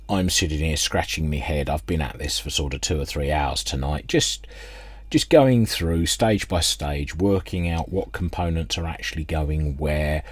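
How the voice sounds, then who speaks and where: A man's voice: extremely low pitch, husky, no echo. A British man explaining technical work informally at a workbench, hesitant and unscripted as he thinks aloud. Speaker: radio restoration hobbyist presenting a workbench video. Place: indoor workbench, close dry sound.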